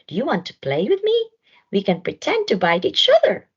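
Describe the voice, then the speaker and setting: A woman's voice: fairly high pitch, huge pitch swings, normal speed, slightly rough, lo-fi sound, voice pushed up, heavily acted. A woman voicing cheerful young animals in a storybook, eager and bouncy as they invite someone to play. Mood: eager, playful.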